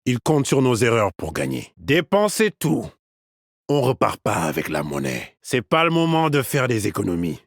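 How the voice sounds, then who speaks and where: A man's voice: mid-range voice, huge pitch swings, moderate pace, dry recording. An actor playing a cocky male combat agent who teases his teammates, dubbed for a game in a dry studio. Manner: cocky, teasing, trash-talking. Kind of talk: French game dub voice lines.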